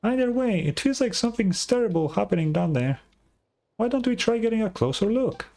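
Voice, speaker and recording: A woman voicing a tiny, childlike fairy companion in a video game, squeaky and excitable. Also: brisk. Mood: startled. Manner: excitable, refers to self by name. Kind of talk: cartoonish video game acting.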